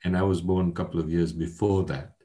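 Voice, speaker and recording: A man in his sixties from Kenya, a guest academic in an interview, speaking in a measured, earnest way with frequent hesitations. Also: deep voice, fairly even intonation, warm tone. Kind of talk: conversational interview.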